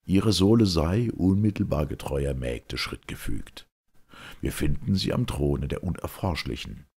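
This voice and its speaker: Very deep, gravelly man reciting verse. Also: animated, a little mellow. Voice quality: gravelly, husky. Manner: solemn, theatrical, measured. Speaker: reader of classic literature. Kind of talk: dramatic verse recitation of a classical play.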